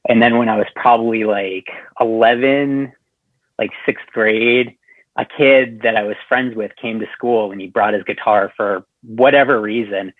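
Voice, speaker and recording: A man's voice: lowish voice, steady tone, normal speed, no room sound. American man on a phone-like line. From southern New Hampshire, USA. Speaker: podcast guest, punk band musician. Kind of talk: casual chat. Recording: thin phone-like line, dry.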